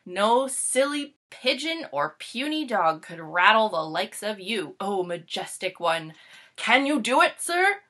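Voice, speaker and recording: A woman playing an excitable, bossy young boy full of schemes, reading a picture book with emphatic, dramatic delivery. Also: medium pitch, a little slow, exaggerated voice. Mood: coaxing, bossy.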